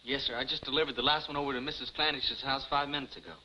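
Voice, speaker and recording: A man playing a naive, boastful small-town young man with a folksy, halting manner in 1950s television comedy. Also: medium pitch, steady tone, bright, forceful tone. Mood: casual. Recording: dull vintage 1950s TV soundtrack, faint noise.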